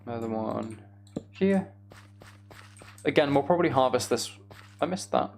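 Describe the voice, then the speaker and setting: A man streaming a game, chatting casually and thinking aloud in an unhurried way as he plays. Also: medium pitch, expressive, creaky, a little mellow. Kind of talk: casual gaming livestream chat.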